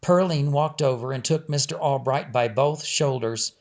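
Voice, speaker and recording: A man's voice: mid-range voice, normal speed. Man narrating a family storybook. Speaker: author reading own audiobook.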